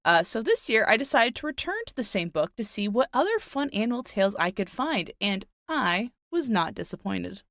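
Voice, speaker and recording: A woman's voice: mid-range voice, very animated, moderate pace, few pauses, a bit bright, no echo, clean studio sound. A woman hosting a podcast, moving between casual chat and folktale storytelling with light wry asides. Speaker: podcast host.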